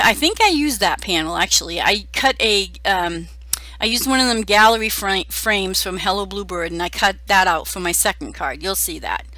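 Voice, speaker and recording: A middle-aged woman, hoarse from a cold, chatting casually through a card-making voice-over with many fillers. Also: medium pitch, sharp tone, no room sound.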